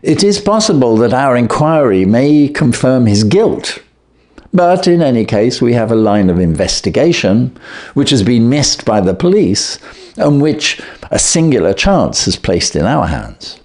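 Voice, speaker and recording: Man playing Victorian detective, storybook, brisk, shrewd, self-assured, decisive, very varied intonation. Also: medium pitch, very animated, a little mellow, voice pushed up. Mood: resolute. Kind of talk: storybook character voice.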